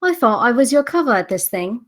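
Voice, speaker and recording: A woman's voice: a little high, big pitch swings, fairly quick, a bit bright. A woman at a script read playing a bold, cheeky young woman who can turn haughty and indignant. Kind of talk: screenplay table read acting.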